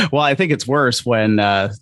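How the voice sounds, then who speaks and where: A man's voice: mid-range voice, sharp tone, no echo, clean studio sound. A man hosting a podcast, chatting in an informal, agreeable way. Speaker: podcast host. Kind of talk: casual podcast chat.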